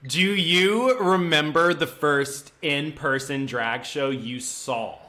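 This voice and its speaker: A man's voice: fairly high pitch, takes it slowly, bright, forceful tone. A man hosting an interview, playful and gushing, with sing-song intros and lots of "like". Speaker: interview host. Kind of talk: casual interview.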